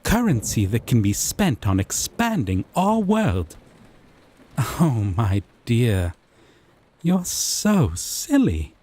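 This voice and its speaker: A man playing a gentle, obsessive yandere dating-sim character, tender and possessive, in an intimate scripted audio roleplay with a lingering, coaxing delivery. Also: mid-range voice, breathy edge, full character voice. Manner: slow, lingering, coaxing, softly teasing.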